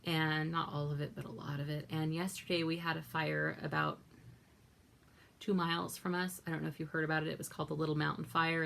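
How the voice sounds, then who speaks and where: A woman with a raspy voice, chatting casually in a personal vlog and rambling with many fillers and self-corrections. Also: deep voice, slight background noise. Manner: rambling, self-correcting, lots of likes and ums. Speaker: vlogger. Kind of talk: casual chat.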